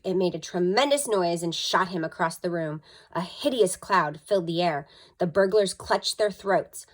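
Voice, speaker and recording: A woman narrating a children's story expressively, with dramatic emphasis, like a school storytime reader. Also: on the low side.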